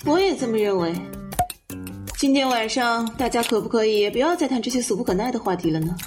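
A woman's voice: a little high, lively intonation, quick pace, close to an everyday voice. A woman playing a refined, reproving mother of a wealthy family in an audio drama. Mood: prim, reproving. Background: loud music and clinking.

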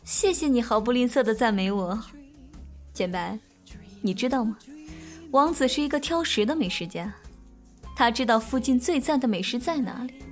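A woman's voice: fairly high pitch, animated, normal speed, pauses often, soft background music, lightly acted. An actress playing a flirtatious, worldly woman restaurateur in an audio drama, with a playful, knowing delivery. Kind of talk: Mandarin audio drama acting.

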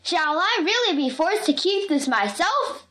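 A woman voicing a bombastic male circus ringmaster from a storybook, announcing grandly with drawn-out words and a short temper. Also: very high-pitched, big pitch swings, sharp tone, pitched above the performer's own voice, heavily acted. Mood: grand, showy.

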